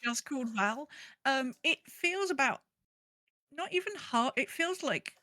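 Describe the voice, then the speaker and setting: A woman's voice: sits high, expressive, unhurried, pushed and bright, no room sound, clean studio sound. A woman hosting a gaming podcast in casual, conversational chat. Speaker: podcast host.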